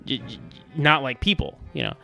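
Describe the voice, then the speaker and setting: A man's voice: mid-range voice, huge pitch swings, moderate pace, vocal fry, bright, forceful tone, soft background music. A man giving casual, rambling episode commentary as a podcast host, often stammering over repeated words.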